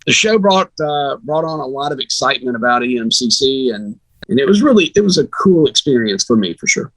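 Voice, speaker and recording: A man's voice: medium pitch, lively intonation, fairly quick, fairly bright tone, dry recording, poor audio. A man who works as a sports radio announcer, talking casually as a guest in a rambling, anecdotal way. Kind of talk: casual sports chat and storytelling.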